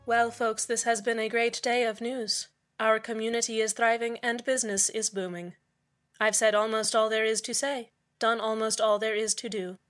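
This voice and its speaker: A woman's voice: on the high side, level tone, normal speed, fairly bright tone, natural voice. A woman playing a falsely cheerful, menacing community radio host in a scripted audio drama, soothing, condescending and polite. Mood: pleasant, polite. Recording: music bed under the voice.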